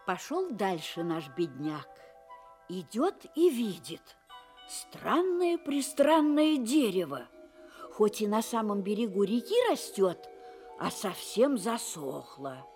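A woman's voice: mid-range voice, sing-song, slow pace, a little mellow, faint music behind. A woman narrating a scripted fairy tale for children. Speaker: storyteller, narrator.

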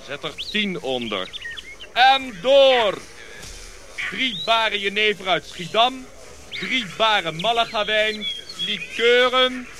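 An actor playing an expedition officer who declaims clipped orders in a radio drama. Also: male voice, sits high, lively intonation, slow pace, pauses often, background noise. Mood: brisk, commanding. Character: commanding expedition officer ordering a procession of bearers.

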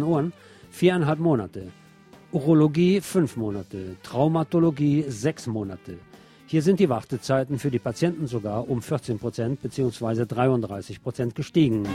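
A man's voice: medium pitch, warm tone. A man presenting scripted local news in German, with a wry, opinionated streak. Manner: sardonic, opinionated asides.

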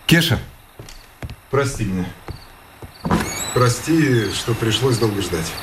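An actor playing a restless, wry village schoolteacher in a radio play, brooding and ironic. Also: male voice, fairly low pitch, lively intonation, slow, noisy background. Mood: apologetic.

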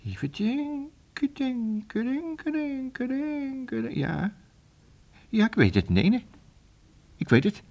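An actor playing an absent-minded, cheerful professor and inventor in a children's audio drama, muttering and repeating himself. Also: male voice, extremely high pitch, sing-song, slow pace, gravelly, a little mellow, highs cut off, full character voice. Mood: pondering. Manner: hesitant, muttering, repeating words, chuckling.

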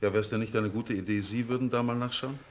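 A man's voice: medium pitch, steady tone, fast, natural voice. An actor playing a brusque, impatient male guest in a 1950s radio play, set back from the microphone.

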